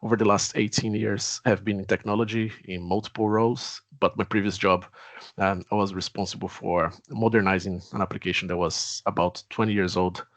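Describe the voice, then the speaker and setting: A Brazilian man in his forties with a Portuguese accent, introducing himself in an earnest, measured way. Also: on the low side, fairly even intonation, fairly bright tone, no room sound. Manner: earnest, measured, with pauses and fillers. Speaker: CTO. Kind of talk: self-introduction.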